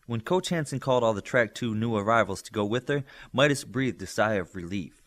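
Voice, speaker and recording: A man reading a story aloud as scripted, expressive narration. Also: fairly low pitch, a little fast. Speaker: audiobook reader.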